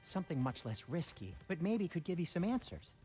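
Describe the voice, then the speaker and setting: Lively, sardonic, fussy companion. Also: male voice, on the high side, fairly quick, faint music behind. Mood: sarcastic, concerned. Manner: chatty, theatrical, sarcastic. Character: fussy, sardonic, excitable, loyal male companion. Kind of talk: dramatic video game acting.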